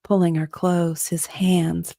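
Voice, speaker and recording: Slow, low, creaky woman narrator. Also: little pitch movement, warm tone, no echo, studio quality. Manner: breathy, often dropping to a whisper. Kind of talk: dramatic romance reading.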